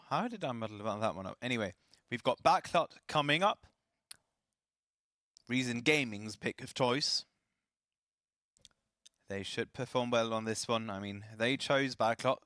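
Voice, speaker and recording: Man, esports caster, lowish voice, slightly raspy, chatty, dry recording. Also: bright, forceful tone, no room sound. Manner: chatty, self-deprecating, apologetic asides. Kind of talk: live esports commentary.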